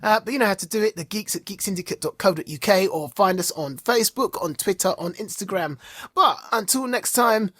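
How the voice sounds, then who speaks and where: A man co-hosting a podcast with casual fan banter, informal, playful and excitable in the way he talks. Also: high-pitched, brisk, no echo, clean studio sound. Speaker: podcast co-host.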